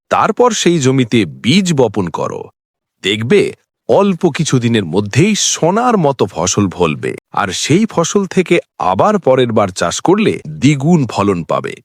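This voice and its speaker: A man's voice: mid-range voice, no room sound, studio quality, heavily acted. A man voicing a kindly, wise holy man in a cartoon story, speaking in an instructive, fatherly way. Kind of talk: dubbed cartoon morality tale.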